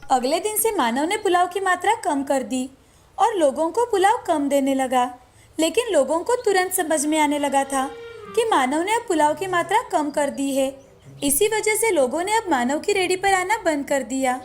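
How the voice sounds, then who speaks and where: High-voiced woman narrating a cartoon. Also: moderate pace, faint music behind. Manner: steady storytelling. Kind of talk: scripted storybook narration.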